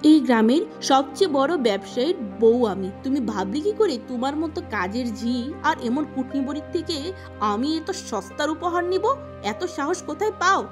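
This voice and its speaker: A woman's voice: sits high, animated, normal speed, music in the background. A woman voicing a greedy, bossy young village woman. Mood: angry, haughty. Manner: scornful, bossy. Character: haughty, greedy, lazy young eldest sister, village girl.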